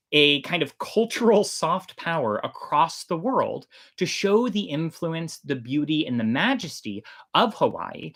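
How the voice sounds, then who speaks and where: Androgynous sounding storyteller host, casual and really animated, pitch goes all over, big on emphasis.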